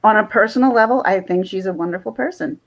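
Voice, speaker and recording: A woman from South Jersey with a Philadelphia accent, hosting a casual livestream chat and talking in halting, rambling restarts. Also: medium pitch. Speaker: livestream host.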